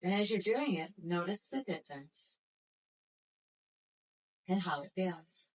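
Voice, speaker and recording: Gentle, slow-paced female yoga instructor. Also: on the low side, animated, poor audio. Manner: slow, gentle, soothing cues. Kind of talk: guided exercise instruction.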